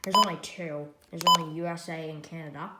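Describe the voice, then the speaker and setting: An American woman giving a casual hands-on product demonstration, halting and often trailing off to restate herself. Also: low voice, steady tone. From United States. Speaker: product reviewer. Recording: echoey room, loud noise, clicks.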